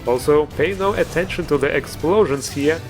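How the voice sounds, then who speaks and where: A man's voice: fairly high pitch, moderate pace, over music. A man hosting a video game mod show, giving informal, enthusiastic commentary with a joking streak. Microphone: voice-over recorded over gameplay music.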